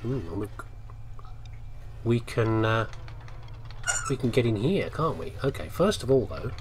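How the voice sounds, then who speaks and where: A British man talks his way through a video game in a very lively, swooping voice. He is chatty and playful, muttering asides to himself, with light game ambience and noise behind him. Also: medium pitch, a little slow. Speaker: gamer doing a let's play. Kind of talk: casual game commentary, thinking aloud.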